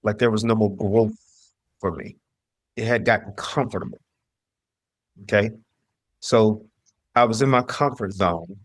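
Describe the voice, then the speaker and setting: A middle-aged man from the southern US shares his story in an interview. His voice sits on the low side, recorded very dry. He talks emphatically, repeating phrases and quoting his own past thinking. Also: measured pace, long pauses, dry recording. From southern US (Mississippi, North Carolina). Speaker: interview guest, entrepreneur.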